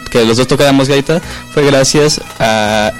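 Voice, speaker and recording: A man's voice: medium pitch, normal speed, faint music behind. A young man talking casually as a bagpiper being interviewed.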